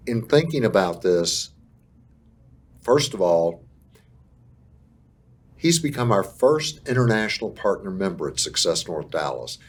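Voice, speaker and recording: Deep-voiced, husky man. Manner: promotional, deliberate, with pauses. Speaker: business group leader. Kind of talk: interview guest.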